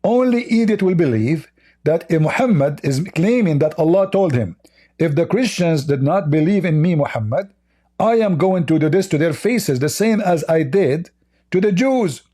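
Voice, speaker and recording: Insistent debating man with a mellow voice. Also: a little high, lively intonation, moderate pace, slightly rough. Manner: combative, insistent, repeats himself to interrupt. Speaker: debate show host. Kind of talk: heated religious debate.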